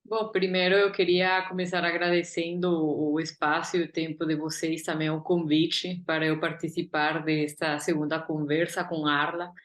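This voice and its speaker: A woman from Guatemala with a marked Guatemalan Spanish accent, a native Spanish speaker telling her story casually as an interview guest. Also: low voice. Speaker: interview guest, artist and curator. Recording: roomy, echoey sound.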